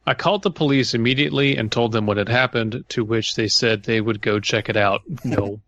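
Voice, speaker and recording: Sharp, bright-voiced man critiquing a story. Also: mid-range voice, a little fast, dry recording, dull audio. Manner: incredulous, blunt, profane. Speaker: podcast co-host. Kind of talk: casual critical commentary and story read-aloud.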